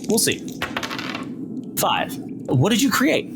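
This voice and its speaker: A man running a tabletop game, giving quick rulings with halting fillers. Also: high-pitched, very animated, gravelly, background noise. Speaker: game master. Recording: loud music bed.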